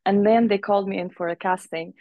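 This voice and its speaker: A young woman from Uzbekistan chats as an interview guest, spinning long personal stories and often breaking into laughter. Her voice sits at an ordinary middle pitch, and the recording is close and dry with almost no room sound. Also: fairly even intonation, fast, dry recording, phone-quality sound. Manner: chatty storyteller who laughs often and acts out quoted voices. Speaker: guest, fashion model. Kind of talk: casual interview chat.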